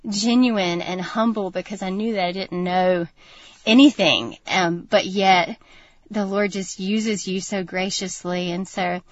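A woman's voice: medium pitch, unhurried, no echo, muffled recording. Earnest woman, dry studio. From North Carolina, USA. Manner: earnest, reflective, laughs often. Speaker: guest. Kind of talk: personal testimony in a radio interview. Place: radio studio.

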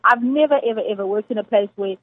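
A South African woman with a husky, slightly high voice, talking casually and emphatically as a guest chef, laughing often. The sound is dry and narrow, like a radio line heard over a phone. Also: quick pace, no echo. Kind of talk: casual interview chat.

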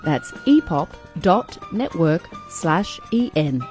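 A female radio host with a mellow, mid-pitched voice reads a scripted announcement. Her intonation is lively, and faint music sits underneath. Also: slow pace.

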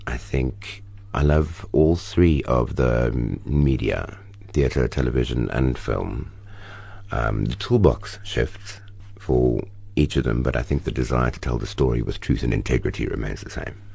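A man's voice: extremely low pitch, measured pace, creaky, breathy edge, no room sound. A middle-aged South African man, an actor, telling stories expressively in an interview. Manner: expressive, storytelling, animated bursts. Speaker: actor, guest. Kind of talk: interview conversation.

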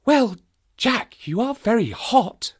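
Narrator playing proud young woman, eager, secretive, high voice, swooping intonation, audiobook drama. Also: very animated, takes it slowly, slightly rough, full character voice. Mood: guarded, polite. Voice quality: low eager voice with a lisp. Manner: hushed, urgent, commanding.

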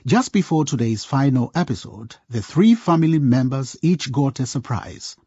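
A man's voice: mid-range voice, expressive, normal speed, warm tone, husky, no echo, highs cut off. A man narrating a scripted radio drama, addressing listeners like a storyteller. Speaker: narrator, presenter.

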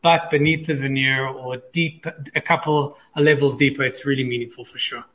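Male psychologist explaining, mid-pitched. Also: fairly even intonation, moderate pace, a bit bright. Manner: explanatory, repeats key phrases for emphasis. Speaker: psychologist, regular expert guest. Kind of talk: conversational expert advice.

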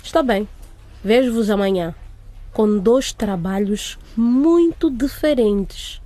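An actress playing a stern, watchful school principal in a radio drama, speaking with authority. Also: female voice, on the high side, lively intonation, takes it slowly, warm tone, dry recording. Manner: authoritative, crisp commands.

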